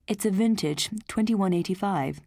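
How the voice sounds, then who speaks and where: A woman voicing a thoughtful, self-satisfied husband in an audiobook story, measured and confiding. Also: medium pitch, mellow, natural voice.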